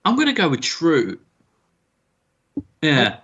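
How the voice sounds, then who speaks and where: A man's voice: a little high, wildly swooping pitch, slow, a bit bright, highs cut off, slight background noise. A man co-hosting a comedy podcast, answering quiz questions with jokes and laughs.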